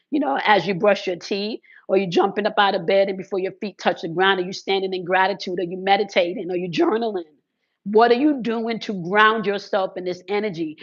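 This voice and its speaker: A hoarse woman speaks casually to her followers about spiritual practice, her voice crackling from congested sinuses. She keeps checking in with quick "okay"s and "right"s. Her voice sits at an ordinary pitch, and the recording sounds a little dull and lo-fi. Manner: repeats "okay" and "right" as check-ins, uses fillers. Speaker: spiritual content creator. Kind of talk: casual spiritual talk to viewers.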